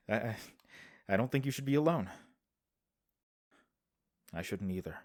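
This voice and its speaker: A man's voice: lowish voice, lively intonation, measured pace. A man acting as an anxious, idealistic young healer and worried brother in a fantasy audio drama, hesitant and stammering.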